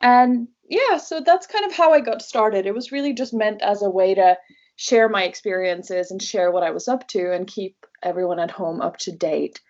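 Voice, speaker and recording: A woman's voice: medium pitch, normal speed, a little mellow. Travel blogger guest: young female, native Norwegian speaker, mid-range voice, conversational, somewhat muffled audio. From Norway. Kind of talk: conversational interview answers.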